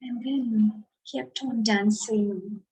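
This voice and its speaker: Very slow, high-voiced woman storyteller. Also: fairly even intonation, darkish tone, breathy edge, low-quality recording. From India. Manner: dramatic pauses, repeats phrases for young listeners. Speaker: storyteller reading a picture book aloud. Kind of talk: expressive children's read-aloud.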